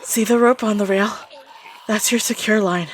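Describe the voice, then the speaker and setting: A woman's voice: medium pitch, steady tone, normal speed, a little hiss or hum, natural voice. A woman playing a desperate, protective zombie outbreak survivor in an audio drama, giving urgent, clipped commands. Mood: urgent, tense.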